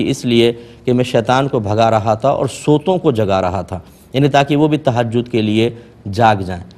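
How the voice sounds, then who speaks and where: A man delivers religious guidance in the role of an Islamic scholar. His voice sits at a medium pitch, and he explains and admonishes as he goes, mixing in Arabic devotional phrases. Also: darkish tone. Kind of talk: religious advice talk.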